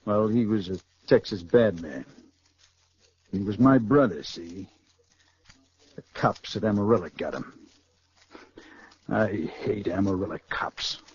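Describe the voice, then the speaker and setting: An actor playing a vengeful, boastful American gangster in a 1940s radio crime drama. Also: male voice, lowish voice, a little slow, long pauses, breathy edge. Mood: bitter boasting. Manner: tough, boastful, menacing. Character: vengeful, cop-hating American gangster jailbreaker. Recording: noisy, muffled 1940s radio recording.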